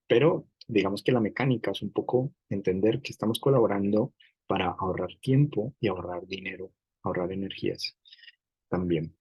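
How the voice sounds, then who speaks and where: A middle-aged man teaches a university class, working through hypothetical cases step by step. His voice sits a little low and carries a husky, breathy edge. The recording is dry and close, with no room around it. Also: no room sound, lo-fi sound. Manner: explanatory, builds hypothetical examples, frequent "digamos". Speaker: university lecturer. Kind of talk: academic lecture.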